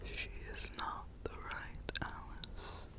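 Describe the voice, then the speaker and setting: A woman voicing an eerie male storybook cat who gives slow, breathy, ominous warnings in a whispered ASMR roleplay. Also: natural voice. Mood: ominous, urgent.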